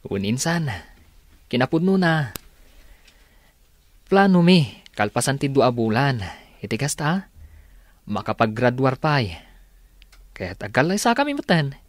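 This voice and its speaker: A man's voice: mid-range voice, very animated, unhurried, pauses often, dry recording. An actor playing an earnest, grateful young policeman in a radio drama, with heartfelt delivery. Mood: friendly. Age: young adult. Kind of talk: Filipino radio drama acting.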